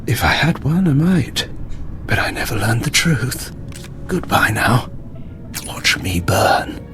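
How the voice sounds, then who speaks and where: An actor playing a defiant, grimly fanatical terrorist mastermind in a video game, theatrical and deliberate. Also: male voice, medium pitch, sing-song, a bit bright, soft background music, full character voice. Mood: bitter, resigned.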